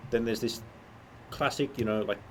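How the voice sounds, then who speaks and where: A man's voice: medium pitch, fairly even intonation, moderate pace. Young man for a casual chat podcast, dry and needling, faint hiss behind him. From Australia. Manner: teasing, argumentative, dry. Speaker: co-host.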